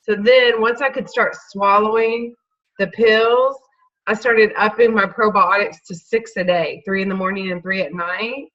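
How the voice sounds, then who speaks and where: Woman with a head cold, bright voice, rambling casual chat, muffled recording. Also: medium pitch, fairly even intonation, unhurried. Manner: rambling, informal. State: stuffed up with a cold. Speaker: panel member.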